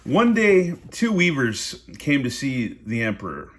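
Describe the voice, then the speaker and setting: A man's voice: mid-range voice, very animated, a little slow. A man reading a story aloud in a casual, amused way, breaking off into informal asides.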